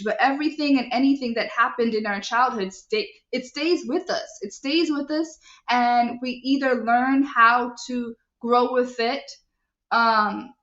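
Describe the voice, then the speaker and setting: A woman's voice: fairly high pitch, a bit bright. Woman talk show host, earnest, preachy, rhetorical questions, roomy echo, slightly dull audio. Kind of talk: live call-in talk show reading listener comments. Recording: echoey, slightly dull sound.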